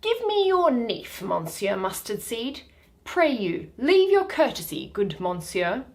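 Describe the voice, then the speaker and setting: A woman's voice: a little high, very animated, slow, darkish tone, heavily acted. Woman playing pompous donkey-headed man, swooping delivery. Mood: fussy. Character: Bottom, bumbling self-important man, part donkey, hungry and sleepy.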